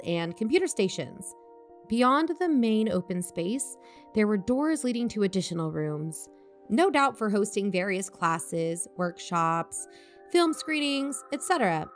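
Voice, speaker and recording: A woman playing an earnest investigative podcast host, narrating in a composed, measured way. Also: mid-range voice, sing-song, soft background music. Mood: measured, descriptive. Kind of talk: scripted narration in audio drama.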